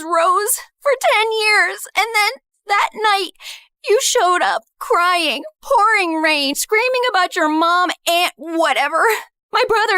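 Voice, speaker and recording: A woman's voice: very high-pitched, sharp tone, no room sound, studio quality, full character voice. A woman playing a bitter, scornful teenage girl in a dramatized story, accusing and sneering at a former best friend. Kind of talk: dramatized first-person story acting.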